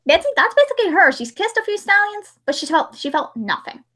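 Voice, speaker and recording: A woman's voice: extremely high pitch, big pitch swings, fairly quick, bright, forceful tone. A woman chatting casually and ramblingly as she hosts a livestream, acting out remarks as she goes. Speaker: livestream host and hobby voice actress.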